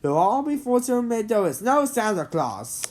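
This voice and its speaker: Woman playing an excitable, high-pitched boy genius. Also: normal speed. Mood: defiant. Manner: exclamatory, insistent.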